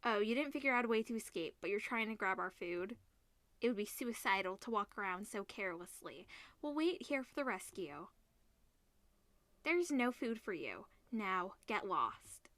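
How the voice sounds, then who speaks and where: A woman's voice: medium pitch, expressive, moderate pace, fairly bright tone, dry recording, natural voice. A woman voicing a selfish, dismissive school bully in a visual novel. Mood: cold, hostile. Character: hostile, selfish school bully refusing to share food.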